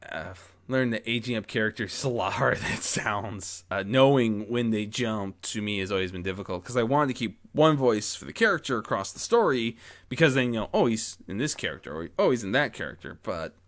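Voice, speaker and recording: A man with a fairly low-pitched voice chats reflectively to his followers. He rambles, doubles back and corrects himself mid-sentence. He speaks close into a boom-mounted microphone with a pop guard. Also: lively intonation, fairly quick, a bit bright. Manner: rambling, self-correcting, frequent false starts. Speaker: amateur audio story narrator and channel host. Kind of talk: casual reflective monologue to followers. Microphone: close mic on boom arm with pop guard.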